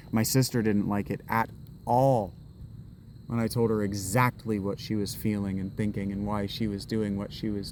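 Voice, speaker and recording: A man with a low, mellow voice rambles to his camera while walking outside. He often restarts his sentences and drifts from one thought to the next. A faint hum of outdoor ambience sits behind him. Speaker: vlogger. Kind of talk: rambling spoken vlog monologue. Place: outdoors on a walk in public. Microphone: camera held while walking.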